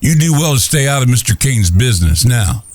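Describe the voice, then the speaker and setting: A man's voice: mid-range voice, animated, brisk, dry recording, lightly acted. A man playing a menacing, sneering cowboy henchman with a threatening Old West drawl in a Western audio drama. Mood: hostile threatening. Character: menacing male hired cowboy thug, sneering and foul-mouthed.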